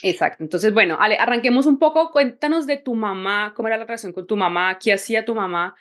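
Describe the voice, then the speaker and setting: A woman's voice: medium pitch, fast talker, a bit bright. A woman hosting a podcast, introducing her guest in an upbeat, encouraging way. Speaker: podcast host.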